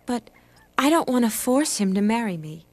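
An actress playing a shy, humble young peasant widow in an animated Bible story, speaking hesitantly. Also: female voice, a little high, no room sound. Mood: nervous, reluctant. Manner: hesitant, halting. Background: music.

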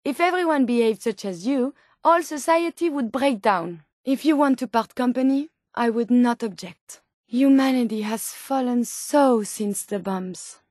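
A woman's voice: on the high side, a little slow, a little mellow, no room sound, clean studio sound. An actress voicing a polite, curious and tender female robot scientist in a video game, with a French accent and precise, formal phrasing. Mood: earnest, polite. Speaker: video game companion character. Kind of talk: video game companion dialogue.

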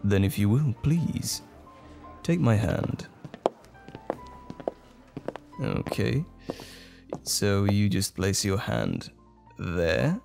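A man playing a gentle, bashful romantic date in an ASMR roleplay, speaking tenderly and a little hesitantly. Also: lowish voice, vocal fry, heavily acted. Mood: tender, flirty. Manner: tender, hesitant, lightly chuckling flirtation. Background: music.

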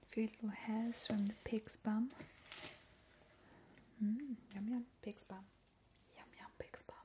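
A woman playing a playful, ghoulish vampire in a whispered ASMR roleplay, breathy and slow, with soothing relish. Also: fairly high pitch, steady tone. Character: playful, ghoulish immortal female vampire baking gruesome treats. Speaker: ASMR host in character. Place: home kitchen, indoors. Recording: noticeable background hiss, soft clicks.

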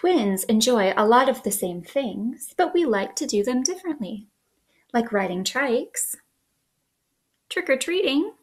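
Female children's librarian, thirties, slightly high voice, unhurried expressive picture-book read-aloud. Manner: sing-song, expressive, asks children questions. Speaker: children's librarian, storytime host. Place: small living room indoors.

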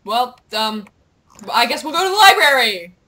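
Young female gamer, higher-pitched and very bright, playful casual chatter with goofy outbursts. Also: moderate pace. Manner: excitable, playful, goofy exclamations. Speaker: gamer, video creator. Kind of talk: casual gameplay chat, reading quest captions aloud.

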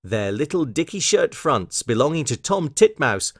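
Man voicing a high, fussy little hedgehog washerwoman. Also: very animated, normal speed, fairly bright tone, no room sound, clean studio sound, exaggerated voice. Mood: busy, chatty. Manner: flustered politeness with hesitant ums. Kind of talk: children's storybook character voice.

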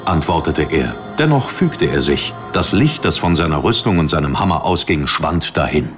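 A man's voice: a bass voice, big pitch swings, normal speed. A man reading scripted dramatic narration as an audiobook narrator. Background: music under the narration.

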